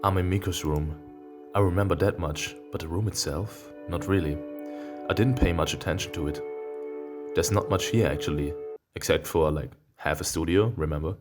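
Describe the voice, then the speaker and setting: Casting: deep-voiced male let's player, non-native English speaker, reads visual novel text aloud with sarcastic, sweary commentary over clear background music. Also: moderate pace. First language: not English. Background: game music.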